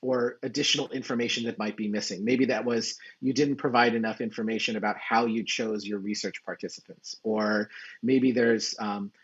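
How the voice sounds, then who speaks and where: A man presenting as a journal editor in an explanatory conference style, speaking haltingly with frequent restarts and repeated words. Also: on the low side, steady tone, husky, highs cut off.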